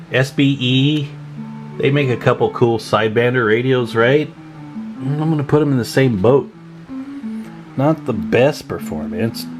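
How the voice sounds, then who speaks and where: A man giving a casual hands-on radio repair tutorial, thinking aloud in a folksy, informal way. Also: fairly low pitch. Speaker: radio repair hobbyist hosting a tutorial video.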